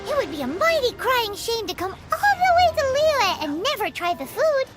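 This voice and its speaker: A very high, squeaky little fairy girl, bubbly and cheeky, her voice bouncing all over the place. She chatters like a game sidekick. Game music plays behind her. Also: big pitch swings, moderate pace. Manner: bubbly, cheeky, speaks of herself by name. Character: tiny chirpy floating fairy companion, female. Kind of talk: video game cutscene voice acting.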